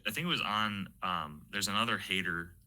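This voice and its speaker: Low, very sharp-edged male voice, casual. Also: normal speed. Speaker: interviewer. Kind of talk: casual chat.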